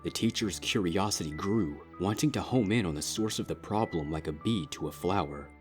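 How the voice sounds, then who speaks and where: Deep-voiced man narrating a story. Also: normal speed. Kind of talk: scripted dramatic story narration. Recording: music under the voice.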